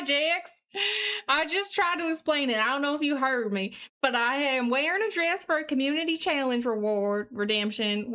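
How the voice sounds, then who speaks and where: A woman livestreamer chatting casually and reading aloud in an exaggerated, put-on deep Southern US accent, with a playful, folksy manner. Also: a bit bright. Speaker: live streamer.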